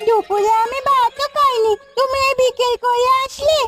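একজন নারী কার্টুনে এক কৌতূহলী, জেদি ছোট্ট গ্রামের মেয়ের কণ্ঠ দিচ্ছেন, নাছোড়বান্দা আবদারের ভঙ্গিতে।. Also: female voice, extremely high pitch, fairly even intonation, normal speed, fairly bright tone. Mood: insistent, sulky. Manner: pleading, insistent questioning. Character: inquisitive, stubborn little village girl. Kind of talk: Bengali cartoon fairy-tale acting. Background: background music.

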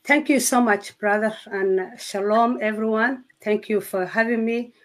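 Woman, Somali accent, English not her first language, earnest, halting, searching for words, interview guest. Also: on the high side. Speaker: guest sharing testimony. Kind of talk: personal testimony in an interview.

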